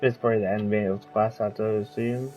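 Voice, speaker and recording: A man's voice: fairly low pitch, fairly even intonation, fast, dark tone, soft background music. A man giving casual, rambling commentary as he plays through a story-driven video game, with informal asides. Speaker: gamer reacting to a game story.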